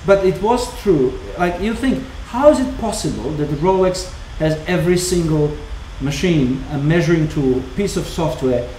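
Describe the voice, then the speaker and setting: Heavily accented former Yugoslav man, mellow. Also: fairly high pitch, normal speed, few pauses. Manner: animated storyteller, heavy accent. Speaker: watchmaker and co-host. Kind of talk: casual livestream chat. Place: indoor watchmaking workshop. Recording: roomy workshop with faint hum.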